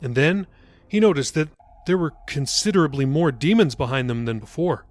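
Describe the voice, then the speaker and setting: A man's voice: a little high, moderate pace, no echo. A man reading his own novel aloud as dramatic, scripted audiobook narration. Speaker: author reading own novel, podcast host. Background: faint music.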